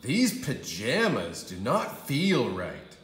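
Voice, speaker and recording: A man voicing a picky little boy in a storybook, exclaiming and drawing out his words deliberately. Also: medium pitch, wildly swooping pitch, fairly bright tone, reverberant, heavily acted. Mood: disgusted.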